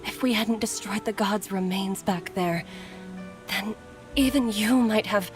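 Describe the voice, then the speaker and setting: A worried mother and physician in a dramatic game scene, voiced by an actress. She speaks softly and gravely at a middle pitch, earnest and weighed down with regret, with music underneath. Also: a little slow, lightly acted. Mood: anguished, regretful. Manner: grave, soft, sighing delivery. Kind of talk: dramatic video game voice acting. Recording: game dialogue over background music.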